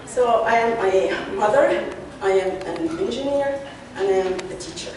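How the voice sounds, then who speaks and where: A woman in her fifties, originally from Egypt, speaking in a friendly, halting way as a panelist. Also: mid-range voice, darkish tone, slight background noise. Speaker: engineering professor, panelist. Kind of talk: panel talk to a symposium audience. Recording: echoey hall from far off.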